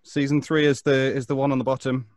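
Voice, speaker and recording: English man chatting, mid-pitched voice. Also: level tone, quick pace. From England. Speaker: voice actor, podcast cast member. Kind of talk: casual chat. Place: at home, on a video call.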